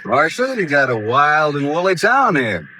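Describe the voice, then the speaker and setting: An actor playing a sickly, courtly gunfighter in a radio Western, dry and unruffled, with an American accent and a husky voice. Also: male voice, fairly high pitch, sing-song, moderate pace, bright, forceful tone, slight background noise. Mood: wry. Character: sickly, courtly gambler gunfighter, wryly cool and dangerous. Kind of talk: 1950s radio Western acting.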